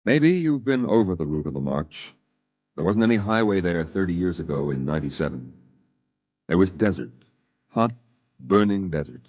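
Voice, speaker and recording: A man narrating a 1950s radio drama as a grown man recalling his youth, in a measured, reflective way. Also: deep voice, big pitch swings, darkish tone, dry recording. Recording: dull, muffled old radio broadcast.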